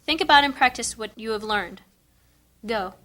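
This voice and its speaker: A woman's voice: a little high, lively intonation, moderate pace, bright, forceful tone. A woman playing a stern, formal temple mistress who teaches mind powers in a fantasy audio drama. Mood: stern, instructing. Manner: formal, authoritative.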